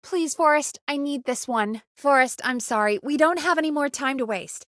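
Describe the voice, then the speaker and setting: A woman's voice: high voice, normal speed, a bit bright, no room sound, clean studio sound. A woman playing an earnest, urgent radio producer in a video game, conversational and pressing in naturalistic dialogue. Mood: pleading, frustrated.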